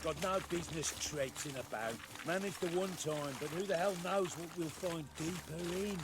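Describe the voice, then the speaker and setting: An actor playing a coarse, wary, complaining soldier in a fantasy video game drama. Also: male voice, on the high side, moderate pace, heavy background noise, close to an everyday voice. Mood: uneasy grumbling. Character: rough, grumbling, wary male soldier on expedition. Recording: loud music bed behind voice.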